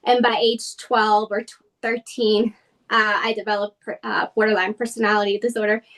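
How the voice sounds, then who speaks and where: A woman's voice: mid-range voice, level tone, moderate pace, fairly bright tone, distant mic. A woman from Colombia who was raised in Boston, guesting on a podcast and telling her personal story earnestly, with many fillers.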